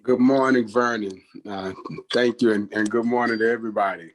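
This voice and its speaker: A man calling in from outside a doctor's office, speaking an earnest tribute with halting restarts. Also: fairly low pitch, fairly even intonation, a little hiss or hum, rough recording. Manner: earnest, halting with repeated words. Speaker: guest, executive director of a cooperative federation. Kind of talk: spoken tribute over a call. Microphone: phone or call audio.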